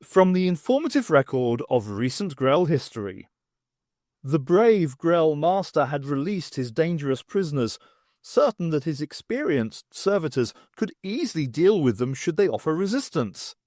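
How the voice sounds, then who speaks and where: A man's voice: on the high side, very animated, moderate pace, a little mellow, no echo, studio quality. A man reading scripted story narration as the narrator of an audiobook.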